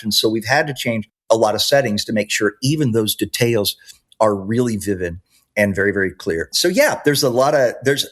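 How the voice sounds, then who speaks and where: A man's voice: medium pitch, a bit bright. A man speaking as a podcast guest, explaining his product in an enthusiastic, conversational way. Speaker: podcast guest, software maker.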